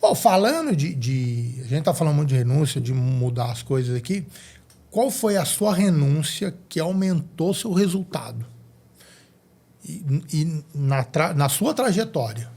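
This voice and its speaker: A woman with a very low, husky voice hosting a casual interview. She asks open questions and keeps the guest going with quick nods of agreement. Her tone stays relaxed and conversational. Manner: affirming, asks questions and encourages with short replies. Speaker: podcast host.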